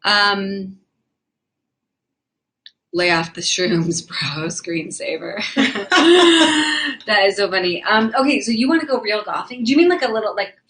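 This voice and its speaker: Young woman streamer, casual and giggly, bright voice, rambling chat host. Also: mid-range voice, very animated, a little slow. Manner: chatty, giggly, lots of fillers. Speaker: livestream host. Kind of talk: casual chat reading viewer comments.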